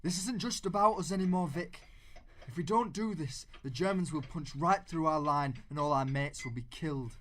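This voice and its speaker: An actor playing a steadfast First World War soldier in a tunnel, in an audio drama. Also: male voice, a little high, moderate pace, fairly bright tone, lightly acted. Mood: determined. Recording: faint noise and clicks.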